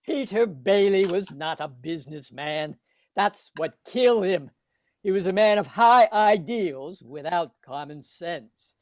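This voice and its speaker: Man acting scornful old villain, high-pitched, pompous, dry close sound, radio play. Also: expressive, measured pace, no room sound. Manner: contemptuous, pompous. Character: cold, scornful, miserly old businessman villain. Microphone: video call.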